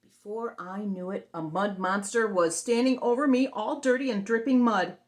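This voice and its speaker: A woman's voice: medium pitch, moderate pace, reverberant. A woman hosting story time, reading a picture book expressively and explaining things simply for young children.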